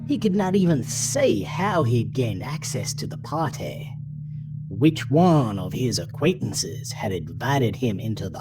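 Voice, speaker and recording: Horror audio story narrator: deliberate, unhurried, widely varied intonation, breathy hushed touches, music underneath. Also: mid-range voice.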